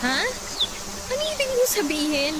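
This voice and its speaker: An actress playing a playful, teasing young woman being courted in a Tagalog radio romance drama, with an expressive girlish delivery. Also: sits high, moderate pace, very noisy. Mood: teasing, fond. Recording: loud music behind the voice. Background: prominent music.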